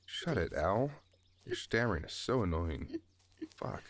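Deep low voice, man playing gruff male slaver, contemptuous, impatient, audio roleplay. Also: sing-song, a little slow, heavily acted. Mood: irritated. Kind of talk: amateur audio roleplay drama.

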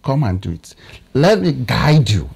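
Man, Nigerian English accent, 30s, halting studio analyst, mid-pitched voice. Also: big pitch swings, creaky, darkish tone, husky. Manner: halting, searches for words. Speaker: political analyst guest. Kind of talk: studio discussion.

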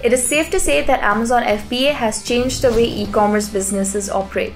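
A woman reading a scripted explainer narration in an even, polished voice-over. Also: on the high side, fairly quick. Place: voice-over over stock footage. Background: soft background music.

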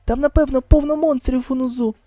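A woman giving an expressive, acted reading of a manga as an amateur voice-over. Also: high-pitched, fairly quick, no room sound. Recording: close, dry voice over music.

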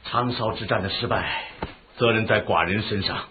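A man's voice: on the low side, moderate pace, background noise, natural voice. An actor playing a forgiving male ruler who reassures his general and takes the blame himself in a radio drama. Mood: reassuring. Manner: warmly reassuring, insistent. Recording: tinny phone-like audio.

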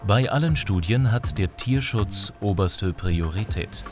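A very deep-voiced man reads a scripted documentary voice-over in a measured, formal tone. Music plays clearly beneath his narration. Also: animated.

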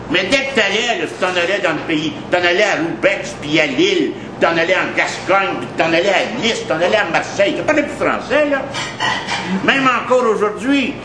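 Male lecturer, Quebec French accent, high voice, emphatic conversational delivery, noisy café room. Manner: emphatic, conversational, repeats points for weight. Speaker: history lecturer. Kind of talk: informal history talk to a café audience. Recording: echoey café, noisy background.

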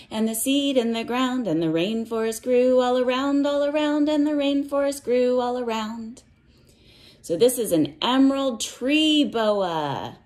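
A woman reading a picture book to young children and explaining it in simple words, with a sing-song, measured cadence. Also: fairly high pitch, darkish tone. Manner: simple, instructive phrasing aimed at young children. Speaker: read-aloud teacher for young children.

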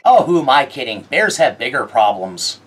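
A low, husky woman's voice swoops widely up and down as she delivers scripted horror film commentary. Her tone is wry and sardonic, with a theatrical lilt on her asides. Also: sing-song, moderate pace. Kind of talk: scripted video-essay commentary.

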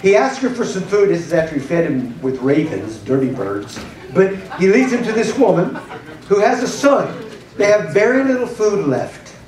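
A middle-aged man preaching with lots of energy, his voice sitting high for a man. He moves about a church stage with a handheld mic. The sound is roomy and a little distant, caught from across the hall. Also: normal speed. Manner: animated preaching, gesturing and pacing the stage. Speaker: pastor. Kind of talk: sermon.

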